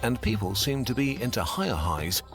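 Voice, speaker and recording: A man giving scripted explainer narration with a lively, clear delivery. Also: fairly low pitch, normal speed. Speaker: educational video narrator. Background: background music.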